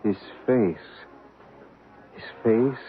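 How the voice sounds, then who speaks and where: A thin, noisy radio recording carries an earnest male medium. He speaks slowly and haltingly, in the measured style of vintage mystery drama. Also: fairly low pitch. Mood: entranced. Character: hushed, earnest male spirit medium and photographer named David. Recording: noisy, phone-like old radio broadcast recording.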